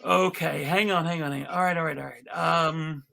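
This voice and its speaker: A man presenting an informal live script reading, halting and amused, with frequent asides. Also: fairly high pitch, fairly even intonation, gravelly, pushed and bright. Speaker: panel reader and presenter.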